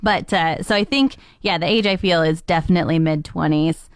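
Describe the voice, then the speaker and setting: Female radio co-host, 30s, slightly low voice, giggly easy chat, dry close mic. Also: moderate pace, clean tone, dry recording. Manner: chatty and giggly, easygoing. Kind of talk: casual morning radio chat.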